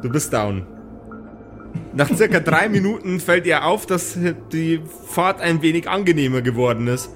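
A man's voice: a little high, lively intonation, moderate pace, fairly bright tone, faint music behind. A man improvising narration as the game master of a tabletop role-playing audio play.

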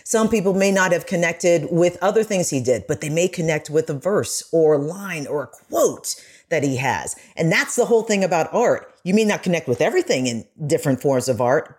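A woman's voice: sits low, expressive, fairly quick, a little mellow, husky. A woman on a podcast panel, speaking casually and explaining her thoughts in a reflective way.

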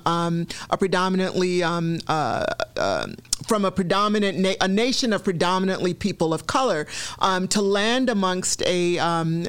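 A woman's voice: fairly low pitch, smooth. A middle-aged Nigerian-born woman, telling her story as an interview guest, reflective, with frequent ums and restarts. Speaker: guest, newspaper columnist. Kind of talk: conversational interview.

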